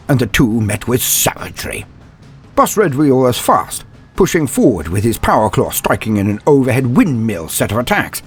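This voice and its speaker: A man narrating a scripted fantasy story in a grandiose, theatrical manner, with wry, deliberate pauses. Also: mid-range voice, husky. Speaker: narrator of fan fiction lore. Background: background music.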